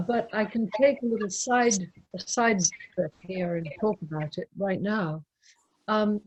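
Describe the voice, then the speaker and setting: A woman leading a poetry discussion on a video call, explaining reflectively in deliberate, halting phrases with pauses. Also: medium pitch, a little mellow, breathy edge. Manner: halting, deliberate, pausing between short phrases. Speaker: poetry reader and discussion leader. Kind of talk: reflective spoken commentary, unscripted teaching. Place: online video call talk.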